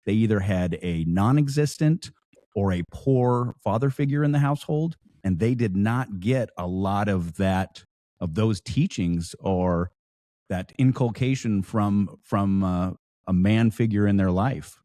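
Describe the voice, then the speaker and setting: A guest on a small podcast, an American man in his forties with a dark, mellow voice, telling stories casually in a dry booth-like sound. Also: on the low side, measured pace, dry recording. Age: late 40s. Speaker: podcast guest, lodge educator. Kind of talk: casual chat with anecdotes.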